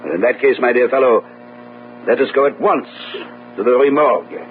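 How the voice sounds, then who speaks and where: An actor playing a reclusive, self-assured French gentleman detective in an old radio drama, urbane, measured and condescending. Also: medium pitch, close to an everyday voice. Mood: probing, confident. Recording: tinny old radio broadcast, humming noise.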